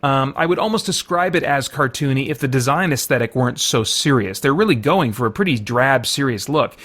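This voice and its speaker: A man's voice: mid-range voice, expressive, brisk, a bit bright. A man giving a conversational game review, thinking aloud and correcting himself as he qualifies his points. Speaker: reviewer and host. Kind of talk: video game review, conversational semi-scripted commentary.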